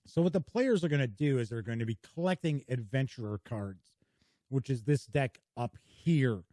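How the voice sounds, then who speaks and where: Man, board game reviewer, folksy and chatty, lively pitch swings, mellow tone. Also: moderate pace, no echo, studio quality. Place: small home studio.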